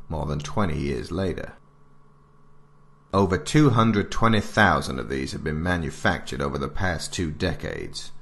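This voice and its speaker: A man playing a stern military handbook narrator, reading scripted instructions in a grave, measured, formal and authoritative way. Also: low voice, creaky, a bit bright, exaggerated voice. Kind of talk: scripted narration of an alternate-history field manual.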